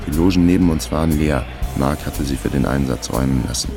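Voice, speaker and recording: A man playing a laconic fixer who narrates his case in a terse, deadpan way in a radio crime drama. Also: rumbling low, brisk, music in the background. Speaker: narrator and protagonist.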